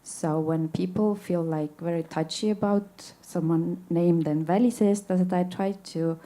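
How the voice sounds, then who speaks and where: Woman chairing a panel, very dark low voice, chatty. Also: steady tone, a little slow, low-quality recording. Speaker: session moderator. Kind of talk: conference discussion.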